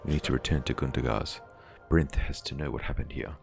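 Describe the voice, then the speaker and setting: Very deep, gravelly male quest narrator. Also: steady tone, brisk, a little mellow. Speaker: gaming video narrator. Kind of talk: scripted narration, voicing game quest logs in character. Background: faint music.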